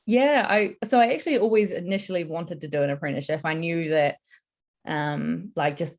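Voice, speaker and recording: A woman's voice: fairly low pitch, fairly quick, a little mellow. A young woman answering interview questions in a casual, earnest and enthusiastic way. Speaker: interviewee, construction apprentice.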